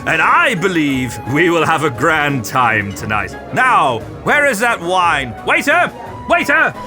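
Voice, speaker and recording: A flamboyant fantasy lord, pompous and fawning in an audio drama. His highish voice swoops theatrically over clear background music. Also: huge pitch swings, slow pace, exaggerated voice. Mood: gushing, grand. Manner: theatrical, gushing, affected. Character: flamboyant, pompous, fawning male nobleman host.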